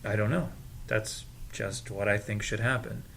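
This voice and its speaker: A man's voice: lowish voice, steady tone, normal speed, gravelly, a bit bright, slight background noise. A man acting an eager, trusting young man, playful and a little naive, in an audio drama read. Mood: hesitant, guarded.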